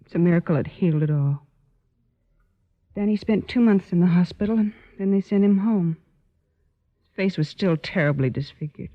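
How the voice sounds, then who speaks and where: A woman's voice: fairly low pitch, moderate pace, long pauses, lightly acted. An anxious, protective sister acted by a woman in a vintage radio play. She speaks hesitantly and earnestly, pleading, and the sound is dull and lo-fi. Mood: sorrowful. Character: anxious, pleading older sister of wayward boy. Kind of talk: old-time radio drama acting. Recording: dull, lo-fi old radio recording.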